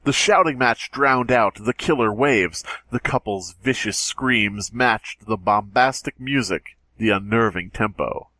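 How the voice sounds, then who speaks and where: A man's voice: on the low side, measured pace, few pauses, bright, forceful tone, no echo. A man co-hosting a podcast, reading a horror story aloud with jokey, sardonic, profane asides. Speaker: podcast co-host.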